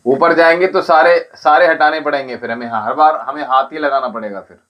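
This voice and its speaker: A man who teaches spirituality and Ayurveda, answering viewers' comments on a livestream and calling each of them by name in a measured, instructive way. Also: a little high, highs cut off. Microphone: livestream setup.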